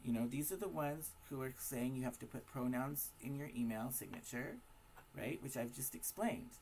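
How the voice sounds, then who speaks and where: A hoarse man with a raspy voice, giving a casual, emphatic opinion monologue to camera full of rhetorical questions. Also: medium pitch, animated, moderate pace, darkish tone, slight background noise, poor audio. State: hoarse from smoking and partying. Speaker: vlogger.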